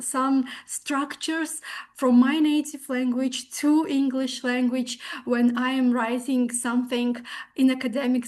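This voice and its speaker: A woman whose first language is Russian moderates an online Q&A, her voice pitched high. She sounds polite and formal as she relays audience comments. The sound comes through a video call. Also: darkish tone. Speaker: webinar moderator.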